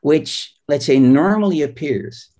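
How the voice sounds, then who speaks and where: A man's voice: fairly high pitch, expressive, measured pace, dark tone. A middle-aged man, a linguistics professor patiently explaining examples in an interview and often checking in with "right?". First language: Dutch.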